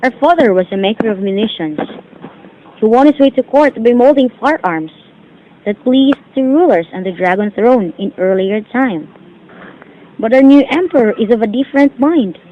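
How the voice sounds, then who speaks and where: A woman's voice: on the high side, lively intonation, moderate pace, slight background noise, natural voice. A woman playing a dutiful, worried young mandarin's daughter in an amateur drama, formal and earnest in her delivery. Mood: earnest, explaining. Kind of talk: declaimed amateur stage-play acting. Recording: dull lo-fi amateur recording.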